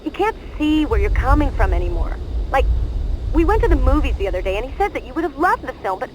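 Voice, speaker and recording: A woman playing a chatty, teasing young best friend in a video game, with an expressive, needling delivery. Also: high-pitched, quick pace, slight background noise, low-quality recording. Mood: confiding. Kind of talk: video game voice acting, phone conversation.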